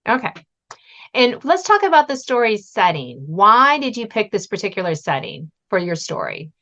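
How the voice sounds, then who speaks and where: Animated woman podcast host, slightly muffled. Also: medium pitch, normal speed. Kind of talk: conversational interview. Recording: muffled, band-limited audio.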